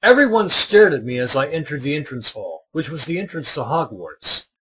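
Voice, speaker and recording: A man narrating a scripted comic story with a husky voice. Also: on the high side, sing-song, normal speed, sharp tone, rough recording.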